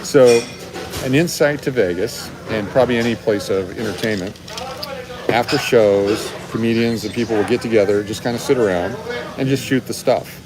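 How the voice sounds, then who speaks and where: A man's voice: on the low side, normal speed. A middle-aged man chatting casually and jokily as a live-stream host, telling comedy anecdotes. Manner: joking. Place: restaurant interior. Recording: busy venue with crowd and background bustle.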